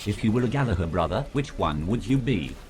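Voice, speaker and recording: A man's voice: on the low side, expressive, brisk, darkish tone, natural voice. A man voicing a courteous, inquisitive interviewer bot, reading scripted questions formally.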